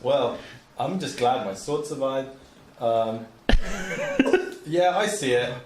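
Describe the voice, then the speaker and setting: A man's voice: mid-range voice, wildly swooping pitch, slow pace. A man chatting casually as a player in a tabletop game, with a dry, wry way of talking. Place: echoey indoor room. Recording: echoey room, faint noise and clicks.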